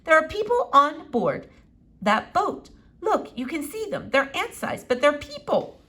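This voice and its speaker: A woman's voice: sits high, sing-song, measured pace, pushed and bright, voice pushed up, exaggerated voice. A woman voicing an earnest, dramatic young girl in a children's storybook, speaking bluntly and emphatically. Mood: indignant. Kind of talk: children's novel read-aloud character voice.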